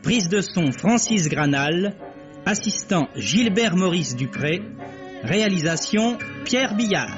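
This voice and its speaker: Slow, high-voiced male radio announcer. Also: lively intonation. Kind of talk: formal scripted credits announcement. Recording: dull old radio recording, music behind.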